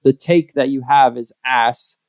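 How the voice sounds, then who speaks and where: A man co-hosting a podcast, making emphatic points in a playful casual chat, often rushing his words. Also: mid-range voice, smooth, no room sound, studio quality. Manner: emphatic, speeds up in bursts, playful. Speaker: podcast co-host.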